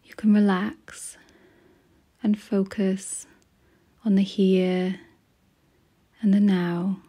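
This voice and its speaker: Soft, breathy woman guiding meditation very slowly. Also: medium pitch, flat delivery. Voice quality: soft, breathy, often near a whisper. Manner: gentle, soothing, unhurried with long pauses. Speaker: guided meditation narrator. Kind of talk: slow guided meditation narration.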